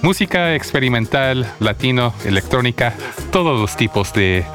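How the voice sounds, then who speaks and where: A man's voice: mid-range voice, lively intonation, music in the background. Man with an American accent in Spanish. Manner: halting, searching for words. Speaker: radio music show host. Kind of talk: casual radio chat.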